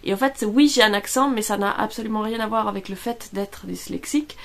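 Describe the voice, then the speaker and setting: A woman's voice: mid-range voice, fairly even intonation, fairly quick, gravelly. A middle-aged woman from Italy with an Italian accent, chatting casually in a home vlog, hesitant and full of fillers, tired after being ill. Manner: hesitant, many fillers and restarts. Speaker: vlogger. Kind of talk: casual chat.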